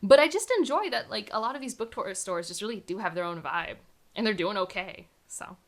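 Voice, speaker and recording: A woman's voice: medium pitch, lively intonation, brisk. A woman book vlogger chatting casually to camera, enthusiastic and full of "like" fillers. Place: home room surrounded by books.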